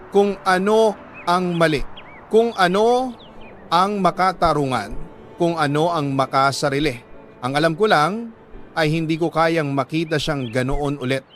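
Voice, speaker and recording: A Filipino man reading a dramatic story in an earnest, emotive way with weighty pauses. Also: fairly high pitch, fairly bright tone, slight background noise. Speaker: storyteller reading a listener's letter. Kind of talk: dramatic scripted story narration.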